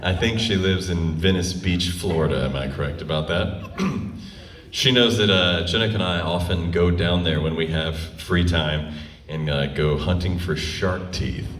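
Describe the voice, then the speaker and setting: Man of forty, low voice, roomy distant stage sound, wry comic storyteller, self-deprecating, chuckles along. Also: a little slow, fairly bright tone. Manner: wry, self-deprecating, often laughing as talking. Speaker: touring performer telling stories on stage. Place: live stage show before an audience.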